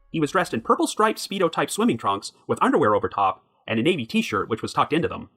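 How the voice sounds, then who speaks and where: A man hosting a podcast, narrating a true crime story from a prepared script in a measured way. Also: medium pitch, fairly bright tone, slightly rough. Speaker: podcast host.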